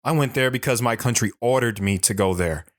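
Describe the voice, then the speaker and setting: An actor playing a bitter, haunted older army sergeant telling his story in a brooding, halting way for an audio drama. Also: mid-range voice, no echo, clean studio sound, lightly acted. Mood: weary, bitter. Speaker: dramatic monologue narrator.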